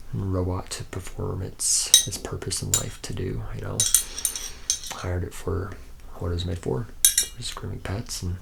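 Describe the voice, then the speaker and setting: A man's voice: deep voice, creaky. A man playing a jaded, boastful lead robot mechanic in a rambling, drawling ASMR roleplay monologue. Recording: noisy close recording with clinking metal.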